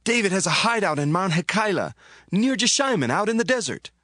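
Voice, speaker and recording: A man's voice: sits high, sing-song, brisk, bright, forceful tone, no echo, clean studio sound, full character voice. A man playing an eager informant from a biblical desert town, reporting urgently in a dramatized audio Bible.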